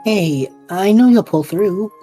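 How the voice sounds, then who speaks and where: A woman's voice: mid-range voice, animated, slow, warm tone, soft background music. A woman playing a bewildered but supportive young man in an audio drama, casual, hesitant and wry. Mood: concerned.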